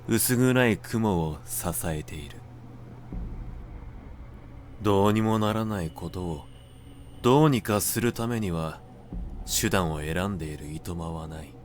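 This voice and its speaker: Man, lowish pitch, slow literary narration, measured phrasing, dramatic pauses, background ambient noise. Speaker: narrator reading literature aloud.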